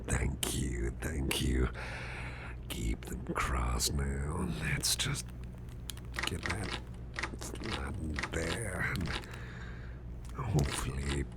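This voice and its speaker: A man playing a big, muscular but softened grumpy boss in an ASMR roleplay, speaking in a gravelly, breathy near-whisper with a gentle, slightly formal manner. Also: very deep voice, steady tone, background noise, full character voice. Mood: focused, hopeful. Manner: slow, murmuring, gently reassuring, slightly formal.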